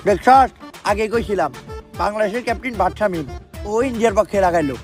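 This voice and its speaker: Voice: mid-range voice, expressive, fast, over music, lightly acted. A performer voicing a brash, foul-mouthed betting tout in a comic skit, with crude, taunting sales patter. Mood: sly. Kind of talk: comic dubbed skit dialogue.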